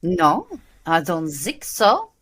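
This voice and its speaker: A woman playing a nervous suspect in a comic murder mystery audio drama, with a theatrical, drawn-out delivery. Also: sits low, big pitch swings, fairly bright tone, breathy edge, exaggerated voice. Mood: anxious.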